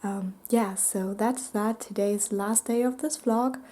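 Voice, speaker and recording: A woman chatting casually to camera in a vlog, hoarse and half whispering because she has nearly lost her voice, soft and halting with many pauses. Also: medium pitch, fairly even intonation. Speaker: book vlogger.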